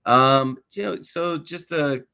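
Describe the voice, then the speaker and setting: A man's voice: fairly high pitch, slow pace, vocal fry, bright, forceful tone, smooth, dull audio. A man speaking as a podcast guest, talking in a rambling way with many fillers. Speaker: soccer chaplain guest.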